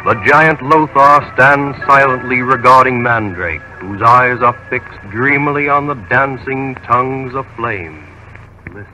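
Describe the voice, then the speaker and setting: A male radio announcer giving an earnest, formal sponsor pitch and then narrating the scene. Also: unhurried, sharp tone, soft background music. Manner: earnest, persuasive, formal delivery. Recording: dull old radio recording.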